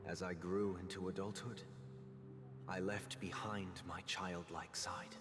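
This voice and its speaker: An actor playing a grave, composed priestly man in a video game, delivering formal, deliberate lines. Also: low-pitched, little pitch movement. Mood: solemn. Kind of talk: solemn scripted game monologue. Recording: long hall reverb, loud music behind.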